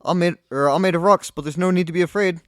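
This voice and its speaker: A gentle, good-natured rock-creature alien as a man puts him on, talking in an attempted New Zealand accent. The voice is pitched well above his usual talk and comes out louder, soft-spoken and jokey, over a dry close mic. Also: fairly even intonation, fast talker, no echo, close to an everyday voice. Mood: friendly.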